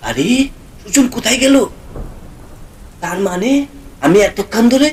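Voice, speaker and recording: A man's voice: sits high, big pitch swings, a little slow, slight background noise, pitched above the performer's own voice, full character voice. A man voicing a tired, kindly village doctor in a cartoon ghost story, full of questions and exclamations. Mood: disbelieving, alarmed. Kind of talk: cartoon horror story acting.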